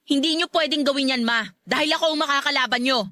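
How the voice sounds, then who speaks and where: A high, dry young voice: a grown daughter in a radio drama. She is respectful but stubborn, pleading earnestly and defensively. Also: fast, pushed and bright, no room sound. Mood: pleading, defiant. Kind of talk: Filipino radio drama acting.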